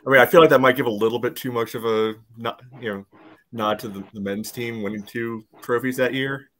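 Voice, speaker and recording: An American man giving his view casually on a soccer podcast, measured and peppering it with small conversational hedges. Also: mid-range voice, steady tone, a bit bright. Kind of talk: casual sports podcast discussion.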